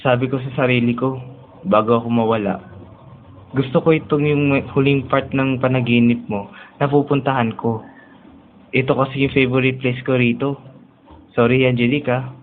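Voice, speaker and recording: A man with a Filipino accent playing a gentle, lovelorn young man who speaks earnestly and tenderly in a romantic radio drama. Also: medium pitch, steady tone, moderate pace, pauses often, narrow, tinny audio, slight background noise, natural voice. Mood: tender, wistful. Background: music.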